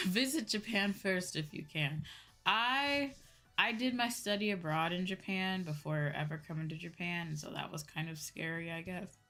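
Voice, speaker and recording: A woman's voice: lowish voice, a bit bright. A woman streamer chatting casually and giving advice in a conversational way, often repeating herself for emphasis. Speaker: streamer host. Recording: background music. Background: music.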